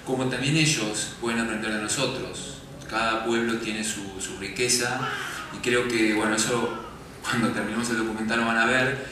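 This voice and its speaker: Guy talking on a festival panel, low voice, heard from far off in a booming hall with noise behind. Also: steady tone, normal speed. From Argentina. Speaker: documentary filmmaker on a panel. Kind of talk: panel discussion after a screening. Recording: very echoey hall, distant mic, background noise.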